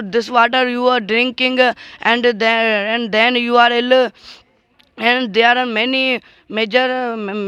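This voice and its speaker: Very high-voiced man debating. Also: pushed and bright, clear, resonant tone, no room sound. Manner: starts read, then falters with fillers. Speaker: debate participant. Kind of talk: classroom debate in English.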